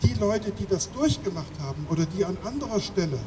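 High-voiced man giving an echoing rally speech. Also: expressive, brisk. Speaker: speaker at a refugee-rights demonstration. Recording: echoing, distant microphone, loud ambient traffic noise.

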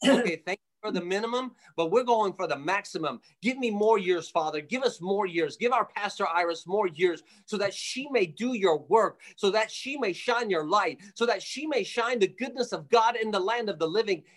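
A man's voice: high voice, animated, normal speed, hardly any pauses, a bit bright. A man from Jerusalem preaching conversationally as a radio guest, emphatic and exhortatory, driving points home with repeated phrases. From Jerusalem, of Armenian family. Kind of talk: fervent preaching in a conversational interview.

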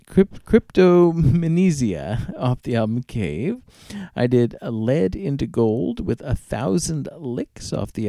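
Animated male radio DJ with creaky voice. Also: medium pitch, no echo. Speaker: radio DJ and show host. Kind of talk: casual music radio back-announcing.

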